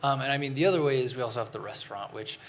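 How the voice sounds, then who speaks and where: A man on a panel answering in a relaxed, casual way with light chuckles. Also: mid-range voice, racing. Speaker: brewer on a panel. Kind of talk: panel discussion.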